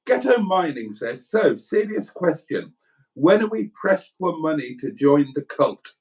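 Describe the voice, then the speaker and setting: A man's voice: a little high, very animated, a little slow, darkish tone, poor audio. A Welsh man from South Wales co-hosting a livestream, reading viewer comments aloud with playful, dry banter. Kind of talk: casual banter.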